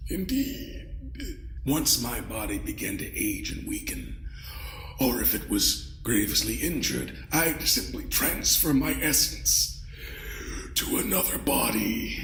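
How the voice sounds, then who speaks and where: An ancient alien villain, speaks slowly in a roomy echo. He is pompous and courtly, savoring each phrase with smug menace as his pitch swoops in audio-drama style. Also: big pitch swings, gravelly, a bit bright, full character voice. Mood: haughty. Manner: pompous, theatrical, savoring pauses. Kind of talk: scripted audio drama acting.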